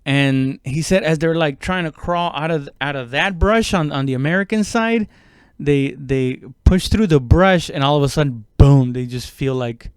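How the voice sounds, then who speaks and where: A man talking in a casual, rambling podcast monologue, halting and conversational, with frequent swearing. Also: on the high side, smooth, no echo, studio quality. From immigrant, moved abroad at seven. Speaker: stand-up comedian podcast host.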